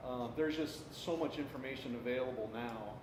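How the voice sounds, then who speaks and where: A middle-aged man who brews beer, talking casually on a panel in a halting, self-deprecating way. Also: medium pitch. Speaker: craft brewer on a panel. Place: indoor room at a live panel event. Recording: echoey room, clearly noisy live stream.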